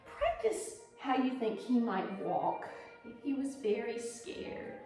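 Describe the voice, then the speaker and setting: A woman's voice: fairly high pitch, wildly swooping pitch, slow pace. A woman teaching a pantomime and ballet lesson on video, demonstrating as she talks with dramatic emphasis. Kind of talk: instructional video dance lesson. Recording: echoey room with music.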